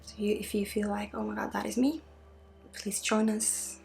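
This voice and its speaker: A woman leading an ASMR energy healing session, soft-spoken and often slipping into a breathy whisper. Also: mid-range voice, steady tone, moderate pace, a little hiss or hum. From Sweden. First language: Swedish. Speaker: energy healer and spiritual guide.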